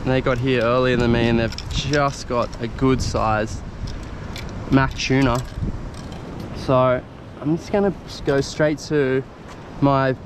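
A man, casually talking through his fishing gear and plans while standing on a rock ledge by the sea, recorded on a head-mounted camera. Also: mid-range voice. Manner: relaxed, explaining gear step by step. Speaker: fishing vlogger. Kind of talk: casual first-person fishing commentary. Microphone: head-mounted action camera. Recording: wind and surf noise on camera mic. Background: crashing waves and wind.

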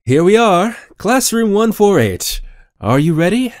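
Theatrical male teacher played by a man, genial and formal, higher-pitched, very animated, visual novel. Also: measured pace, no echo, studio quality. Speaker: voice actor in fan dub. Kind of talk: visual novel character acting. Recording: music behind the voice.

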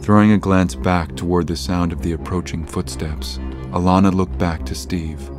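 A man narrating a scripted horror audio drama in a measured, literary storytelling manner. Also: sits low, steady tone, music in the background.

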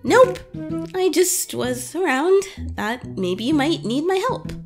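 A flustered teenage superheroine acted by a woman in a cartoon-style comic dub. The voice is very high and lively, gushing and tripping over words. Music plays behind it. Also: takes it slowly, full character voice. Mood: awkward, concerned. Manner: stammering, gushing, excitable. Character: flustered, awkward teenage superheroine hiding her crush.

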